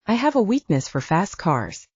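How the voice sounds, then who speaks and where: An earnest, confident man, a scholarly werewolf voiced by a woman narrator, talks in an audiobook romance. The voice is mellow and husky, conversational, on a slightly dull recording. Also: medium pitch, sing-song, normal speed, no room sound. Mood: self-assured. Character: earnest, self-assured male professor and werewolf, adult. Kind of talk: audiobook character dialogue.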